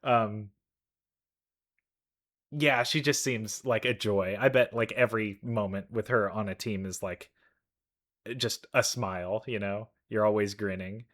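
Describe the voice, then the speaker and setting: A person giving casual, slangy, excited reaction commentary on a video game trailer, prone to exclaiming. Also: mid-range voice, a little slow, pauses often. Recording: music playing behind the voice. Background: video game trailer music.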